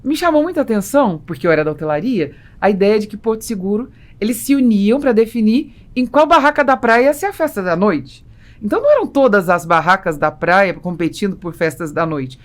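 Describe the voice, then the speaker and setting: A woman's voice: medium pitch, big pitch swings, brisk. A Brazilian woman hosting a business podcast, explaining her opinions in a conversational way. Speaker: podcast host and interviewer.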